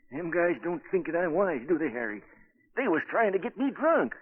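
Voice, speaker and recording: An actor playing a tipsy, dim but loyal sidekick in a 1940s radio drama, rambling in rough, uneducated speech. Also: male voice, fairly high pitch, sing-song, moderate pace. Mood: tipsy, confiding. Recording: tinny, phone-like old radio broadcast.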